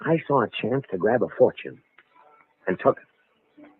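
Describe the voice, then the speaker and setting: An actor playing a smooth, calculating American impostor in a hard-boiled mid-century radio thriller, slangy and cool. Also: male voice, on the low side, expressive, a little slow. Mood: frank, hardened. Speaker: actor and first-person narrator in a radio drama. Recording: tinny vintage radio recording, music behind.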